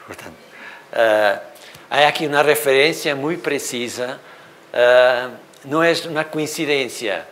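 A middle-aged man with a Portuguese accent, speaking Spanish as a second language, gives an animated university seminar lecture in a hall on a lapel mic, with many fillers. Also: mid-range voice, slow. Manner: animated, gestures at projected slides, thinks aloud with many fillers. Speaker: literature professor.

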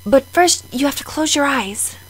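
A woman's voice: sits high, expressive, moderate pace, a bit bright, no echo. A woman playing a shy, smitten female beast in an audio drama, tentative and earnest. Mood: eager.

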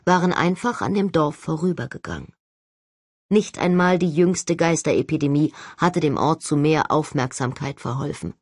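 Low-voiced woman narrating an audiobook. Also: no room sound, studio quality. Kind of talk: scripted first-person novel narration.

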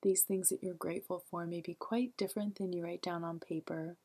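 Soft, breathy woman guiding meditation. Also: fairly low pitch. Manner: calm, gentle, soothing, unhurried with long pauses. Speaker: guided meditation teacher. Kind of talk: guided meditation narration.

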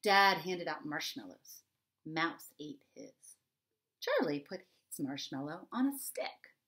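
A middle-aged woman speaking to young children in an expressive, conversational way, with emphatic pauses, as an author reading and explaining. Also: deep voice. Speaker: children's author reading her book and giving a writing prompt. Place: small indoor home room.

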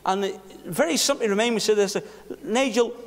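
A middle-aged man preaching a sermon behind a pulpit, earnest, with rhetorical questions and hushed passages. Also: sits high, a little fast. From Northern Ireland. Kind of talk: sermon with personal story. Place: church hall behind a wooden pulpit. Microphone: pulpit mic from a far camera. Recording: echoey church hall.

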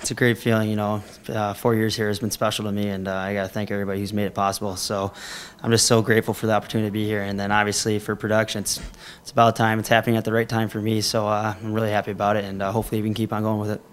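A man's voice: lowish voice, level tone, slight background noise. A young American man, a college hockey player, answering press questions with frequent "uh" fillers. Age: young adult. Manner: rapid, with "uh" fillers.

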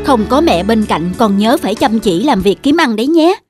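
A woman voicing a caring, gently firm mother mouse who instructs her child in a children's cartoon. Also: a little high, lively intonation, normal speed, over music, lightly acted.

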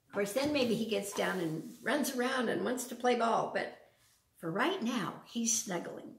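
Slow, lilting older woman reading to children. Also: medium pitch, creaky. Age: older, around 70s. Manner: simple, child-directed talk with frequent questions. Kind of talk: children's storytime read-aloud with chatty asides. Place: large open living room with wood floor, indoors. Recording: roomy echo, distant from the mic.

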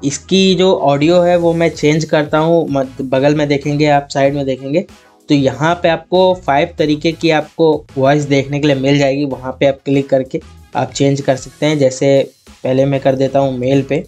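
A man, narrating a software tutorial as a channel host in an instructional way. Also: a little high, steady tone, normal speed. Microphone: lapel mic. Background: faint background music.

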